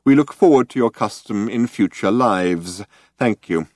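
A man's voice: mid-range voice, big pitch swings, normal speed, no room sound, clean studio sound, pitched above the performer's own voice, close to an everyday voice. A man voicing an ancient recorded announcer, a formally polite old man with an undertone of menace. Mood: cold, gracious menace. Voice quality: hollow, reedy, insubstantial. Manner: formal, over-polite, coldly official.

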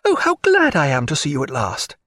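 A man voicing an excitable, affectionate, gushing well-bred British woman in an audiobook, eager and emphatic. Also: a little high, fairly quick, dry recording, studio quality, heavily acted. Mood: overjoyed. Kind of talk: audiobook character voice.

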